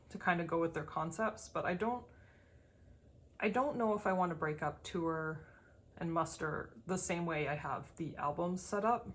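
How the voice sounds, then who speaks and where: A woman with a slightly gravelly, dry voice giving a casual, unscripted tour of a collection, rambling as she thinks aloud. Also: sits low. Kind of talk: casual unscripted show-and-tell commentary.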